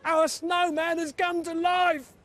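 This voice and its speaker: A man voicing a small, boisterous young male dinosaur in an excitable, exclaiming way for a bedtime story. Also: very high voice, slow pace, voice pushed up, exaggerated voice. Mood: panicked.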